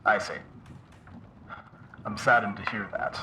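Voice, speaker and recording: A man's voice: on the low side, steady tone, a little slow, close to an everyday voice. A man playing a weary, courteous phoenix calling a radio show, speaking formally and sorrowfully. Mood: sad, resigned. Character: weary, solemn male phoenix caller, gentle and courteous. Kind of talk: radio drama call-in acting. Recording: noisy call-in line with ambience.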